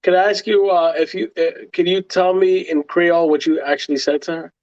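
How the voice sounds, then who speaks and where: A man's voice: fairly high pitch, fairly even intonation, moderate pace, a little mellow. A man co-hosting a podcast in casual banter, slangy and repeating short phrases, on lo-fi audio. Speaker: podcast co-host. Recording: dull, lo-fi audio.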